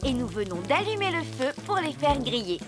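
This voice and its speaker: A practical little girl in a children's cartoon. Her high voice is plain-spoken and patiently corrective, with clear music behind it. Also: big pitch swings, normal speed. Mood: sensible, explanatory. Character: sensible, matter-of-fact young girl, cartoon inventor's daughter.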